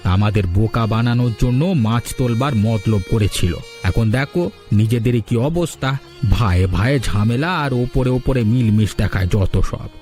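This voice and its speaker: Actor as mellow gossiping village man. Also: medium pitch, animated, moderate pace, faint music behind, close to an everyday voice. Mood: disapproving. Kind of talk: Bengali cartoon story voice acting.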